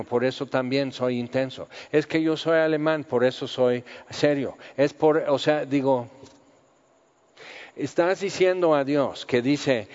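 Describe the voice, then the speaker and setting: A man's voice: mid-range voice, a little mellow. Male preacher, conversational sermon delivery, muffled recording in a large echoing hall. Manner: conversational, with rhetorical questions and voiced quotes. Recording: muffled audio with long hall reverb.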